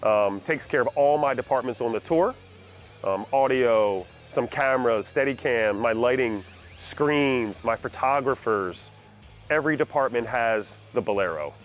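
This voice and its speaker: A man's voice: medium pitch, a little slow. A man giving a testimonial interview as an audio director. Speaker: audio director for live TV productions. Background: background music.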